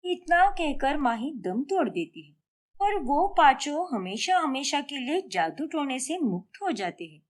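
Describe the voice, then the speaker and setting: A woman's voice: sits high, very animated, unhurried. A woman narrating a scripted, dramatic story as its storyteller.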